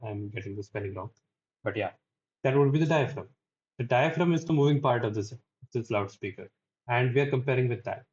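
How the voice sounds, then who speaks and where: A young man giving a technical lecture explanation in a halting way, often checking in with "right?" as he goes. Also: mid-range voice, a little mellow. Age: young adult. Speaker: student presenter. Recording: dull, lo-fi microphone recording.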